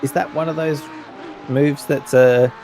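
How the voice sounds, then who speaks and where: A man's voice: on the high side, fairly even intonation, unhurried, gravelly, mellow, some chatter behind, a little hiss or hum. A man with an Australian accent giving chatty, rambling colour commentary on a sim race. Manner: chatty, rambling analysis with laughs. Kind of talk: sim racing color commentary.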